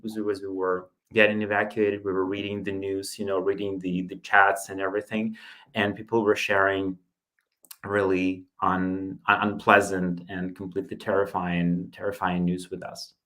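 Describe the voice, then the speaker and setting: A man from Ukraine, a Russian speaker, giving an earnest interview. His voice sits on the low side, and he speaks haltingly, restarting words as he goes. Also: a bit bright, poor audio. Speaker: interview guest. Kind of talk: interview, personal account.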